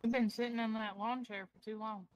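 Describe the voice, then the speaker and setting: A woman with a fairly high voice banters casually during a game. She is teasing and a bit sweary, with faint noise behind her. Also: steady tone, a little fast, a little mellow, dull audio. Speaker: role-play gaming partner. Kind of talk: casual banter while gaming.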